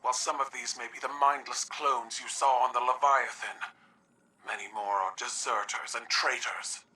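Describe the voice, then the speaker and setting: A brooding alien warrior chief in a game cutscene, measured and heavy with regret. His voice has a hard, tense edge and sits back from the mic. He speaks slowly, weighing each word. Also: mid-range voice, steady tone, sharp tone, distant mic, low-quality recording. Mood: solemn. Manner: solemn, slow, measured. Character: brooding alien warrior leader, grave, proud. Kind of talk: video game cutscene acting.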